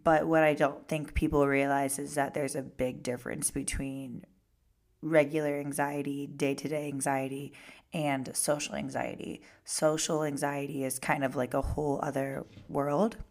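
A young woman hosting a casual solo podcast, her voice raspy and hoarse with a gravelly fry running through it. She sits low in her range and chats loosely, dropping "like" into almost every sentence. She sounds relaxed and unscripted, as if talking to listeners she knows. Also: normal speed. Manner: chatty, lots of "like" fillers. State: hoarse from singing and screaming. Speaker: podcast host.

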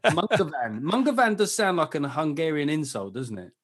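A man's voice: on the high side, very animated, moderate pace, husky. A man hosting a podcast in casual chat, sardonic, teasing and freely profane. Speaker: podcast host.

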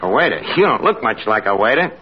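A man's voice: mid-range voice, sing-song, fast, close to an everyday voice. An actor playing a brash, wisecracking New York tavern manager with pretensions to refinement in a 1940s radio comedy, mangling his grammar. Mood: sardonic. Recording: muffled noisy old radio recording, audience.

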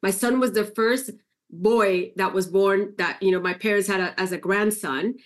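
A woman's voice: medium pitch, normal speed. A middle-aged woman from Puerto Rico, talking casually as a podcast guest and telling family stories. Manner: chatty, warm storytelling with fillers. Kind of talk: casual interview conversation.

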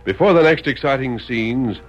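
A man's voice: medium pitch, normal speed. A man announcing in a formal, dramatic old-time radio style. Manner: formal, theatrical. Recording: dull vintage radio recording. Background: music.

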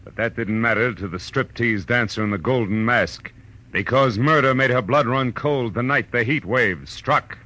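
An American actor playing a wry, world-weary private detective, narrating in punchy deadpan 1940s radio noir style. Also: male voice, mid-range voice, normal speed, close to an everyday voice. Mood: brooding. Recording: old radio drama, music underneath.

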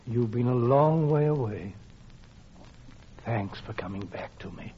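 An actor playing a patient, concerned husband with a gentle, tender manner, in 1940s radio drama style. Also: male voice, medium pitch, expressive, a little slow, a little hiss or hum, natural voice. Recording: muffled vintage radio recording, faint music.

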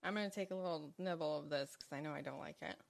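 A woman's voice: deep voice, very fast, gravelly, no echo. Amused woman co-host, dry close sound. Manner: light, amused, teasing. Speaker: morning show co-host. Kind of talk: casual live-stream chat.

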